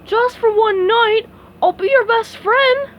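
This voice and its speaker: A woman voicing a dim, sweet-natured male starfish in a cartoon storybook, talking in a slow drawl. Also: extremely high pitch, lo-fi sound, pitched above the performer's own voice. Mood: dopey.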